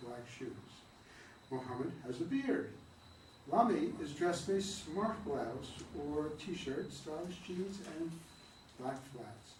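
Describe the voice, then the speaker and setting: A man's voice: medium pitch, a little mellow, a little hiss or hum. Man reading stage directions, plain delivery, echoey room, distant mic. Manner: plain, with occasional stumbles.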